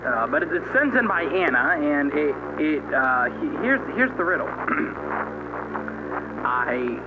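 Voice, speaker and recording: Man as a manic high-pitched, swooping TV host. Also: very animated, unhurried, background noise. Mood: fumbling. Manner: showy, mocking, swooping delivery. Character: manic, surreal male TV host of a kids' riddle show. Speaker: show host. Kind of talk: theatrical retro TV presenting, analog horror. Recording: muffled VHS broadcast under loud music.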